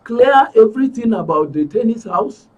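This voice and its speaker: A man with a Nigerian accent and a husky voice giving an emphatic account as an interview guest, repeating phrases for stress. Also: very high voice, animated, moderate pace, distant mic, dull audio. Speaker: interview guest, club member. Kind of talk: spoken account in a broadcast interview.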